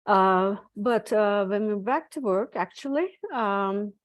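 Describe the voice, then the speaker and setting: A woman's voice: medium pitch, slow. A woman from Turkey speaking English with a Turkish accent, giving an online talk over a video call with many hesitant fillers. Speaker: guest speaker, academic. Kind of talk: online presentation.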